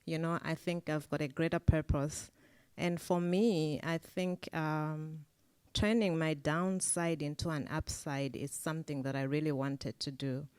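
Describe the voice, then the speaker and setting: A woman's voice: low-pitched, fairly even intonation, a little slow, a little mellow, smooth, no echo. A woman on a discussion panel, speaking thoughtfully with frequent hesitations.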